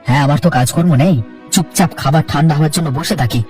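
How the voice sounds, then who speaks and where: A man's voice: fairly high pitch, very fast, darkish tone, distant mic, soft background music, close to an everyday voice. A man voicing a young married office worker in a cartoon, impatient, troubled and complaining in his frustration. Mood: impatient, irritated. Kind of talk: cartoon dialogue acting.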